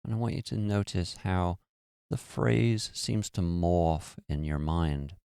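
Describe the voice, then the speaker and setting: Slow, deep-voiced male meditation guide. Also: vocal fry, smooth, dry recording, studio quality. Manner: slow, soothing, hushed delivery.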